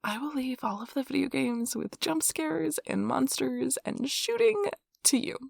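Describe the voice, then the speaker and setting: An affectionate, nerdy young girlfriend , acted by a woman, murmurs in a breathy, lightly creaky voice, recorded very close and dry. She is tender and playfully teasing, rambling softly as in a cozy bedtime ASMR role-play. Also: high-pitched, expressive, measured pace, no echo, full character voice. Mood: playful, reluctant. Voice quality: breathy, whispery.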